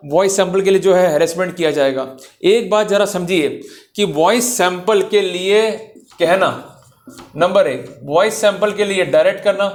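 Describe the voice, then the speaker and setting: A man giving a legal lecture, emphatic and exhorting, with English legal terms mixed in. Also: high-pitched.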